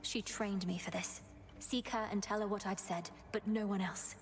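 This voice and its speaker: A woman's voice: medium pitch, fairly even intonation, moderate pace, faint music behind, close to an everyday voice. Woman acting a solemn young underworld witch princess. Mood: grave, determined. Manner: solemn, formal, incantatory. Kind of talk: video game voice acting.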